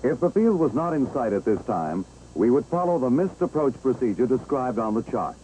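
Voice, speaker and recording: Mellow American training-film narrator, lively pitch. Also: male voice, low-quality recording. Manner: measured, clear step-by-step explaining. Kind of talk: 1960s instructional film narration. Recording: old 1960s film soundtrack, engine-like background noise.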